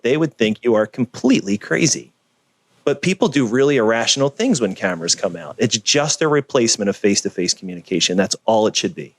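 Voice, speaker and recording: A man from the United States, a video producer, talking in a relaxed, storytelling way as a guest on a video call, often adding "right?". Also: mid-range voice, no room sound. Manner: animated storyteller, frequent "right?" tags. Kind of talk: conversational interview.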